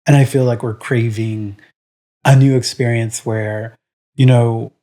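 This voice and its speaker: A man's voice: mid-range voice, steady tone, a little slow, dark tone. Rambling, frank male podcast co-host. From Puerto Rico. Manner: rambling, full of "um" and "like"; frank and opinionated. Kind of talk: casual podcast chat.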